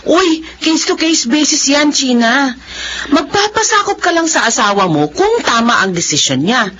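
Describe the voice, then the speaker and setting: An actress playing an outspoken friend who questions the wife's choices in a radio-style drama. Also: female voice, sits high, very animated, normal speed, muffled recording, noisy background. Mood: blunt. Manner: blunt, questioning. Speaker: friend, business contact.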